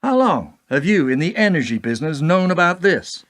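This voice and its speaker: Radio play president, played by a man: indignant, principled, probing. Higher male register, very animated intonation. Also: normal speed. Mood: grave, accusing. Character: outraged, principled American president challenging his adviser.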